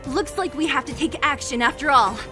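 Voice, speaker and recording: A woman's voice: high voice, brisk, music in the background, lightly acted. A woman playing a bold, brash young female fighter in a video game cutscene. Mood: defiant, surprised.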